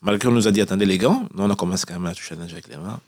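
A man's voice: low-pitched, very fast, darkish tone, husky, no echo, studio quality. A man in his forties from Cameroon, telling his own story as a radio interview guest in a conversational, repetitive way. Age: 40s. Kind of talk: spontaneous personal storytelling in an interview.

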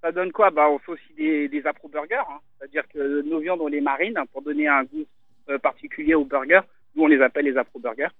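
A man speaks over a tinny, narrow phone line, his voice sitting a little high. He talks relaxed and unhurried, stopping often to search for words. The sound is dry and close. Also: no echo. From Italy. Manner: relaxed, hesitant with many fillers. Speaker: restaurant owner, phone guest. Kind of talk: casual phone interview. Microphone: telephone.

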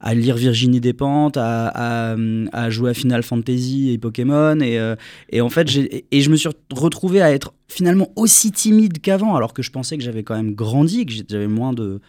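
A young man from France, a singer answering interview questions in a relaxed, rambling way with many fillers. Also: mid-range voice, a little fast, no room sound, clean studio sound. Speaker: singer guest.

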